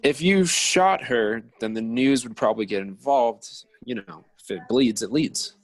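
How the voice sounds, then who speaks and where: A man's voice: medium pitch, lively intonation, a little slow, creaky, poor audio. A man reading an uneasy teenage boy who makes nervous jokes. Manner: uneasy, quipping. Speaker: actor in a table reading.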